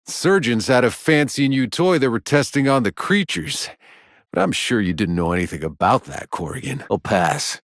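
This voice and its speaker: A man playing a gruff, sardonic, battle-worn soldier in a sci-fi video game, with a gritty, husky voice and a terse, dry delivery. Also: medium pitch, animated, normal speed, no echo, natural voice.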